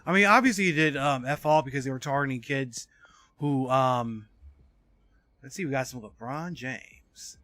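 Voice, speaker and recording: Bright-voiced man in his forties, streaming. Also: medium pitch, normal speed. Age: 40s. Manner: casual, swears freely. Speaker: video game streamer. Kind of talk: casual live gameplay commentary, chatting with viewers.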